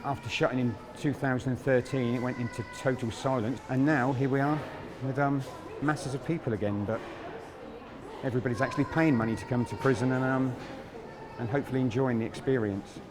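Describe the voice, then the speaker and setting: A man's voice: medium pitch, steady tone, a little fast. A man giving an informal, explanatory history talk as a guide. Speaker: prison guide. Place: inside a large reverberant prison building. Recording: long echo, faint background noise.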